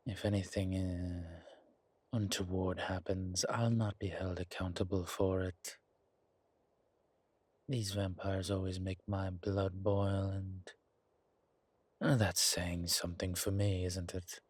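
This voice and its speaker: A man playing a weary, wry vampire elder in an audio roleplay, speaking in a slow, sardonic drawl with formal, old-fashioned wording. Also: sits low, flat delivery, breathy edge, no room sound, full character voice. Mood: grumbling. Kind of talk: dramatic audio roleplay acting.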